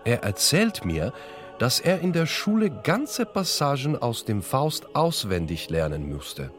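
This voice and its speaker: A man's voice: medium pitch, very animated, measured pace. A man reading scripted first-person radio narration as the interviewer of the piece. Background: music under the voice.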